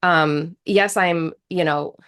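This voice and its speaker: Woman, low voice, thirties, earnest, reflective, interview guest. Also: steady tone, slow, a bit bright, clean tone. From United States. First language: English. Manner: earnest, reflective, many restarts. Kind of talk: casual interview talk.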